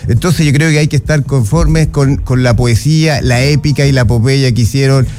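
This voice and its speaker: A man's voice: medium pitch, moderate pace, a little mellow, soft background music. A man with a Chilean accent bantering as a radio host, playful and theatrical in how he talks. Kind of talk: casual banter on a radio talk show.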